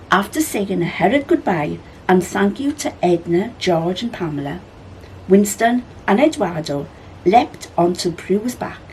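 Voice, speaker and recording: A woman reading a children's story aloud as a library storyteller, with expressive narration. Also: fairly low pitch, slight background noise, rough recording.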